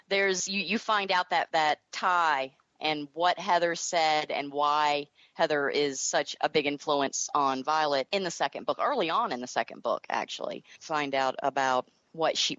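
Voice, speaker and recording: Low-voiced Southern American woman, dull audio. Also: a little slow, fairly bright tone, dry recording. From Southern United States. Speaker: interview guest, author and creator. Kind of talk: casual interview chat.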